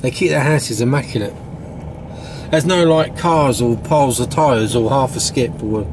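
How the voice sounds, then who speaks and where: A man from Britain rides along in a car and talks about the streets outside. He cracks jokes and teases the driver in an everyday mid-range voice. Car noise runs clearly under the recording. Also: medium pitch, moderate pace. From United Kingdom. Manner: joking, teasing. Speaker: vlogger. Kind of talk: casual travel vlog chat. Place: in a car, passenger seat.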